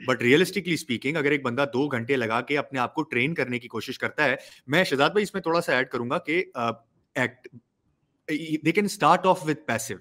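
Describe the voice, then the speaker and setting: A man's voice: medium pitch, a little slow, fairly bright tone. Man, medium voice; interview host who code-switches easily. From Pakistan. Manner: mixes English phrases in, eager affirmations. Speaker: podcast host. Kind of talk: interview hosting.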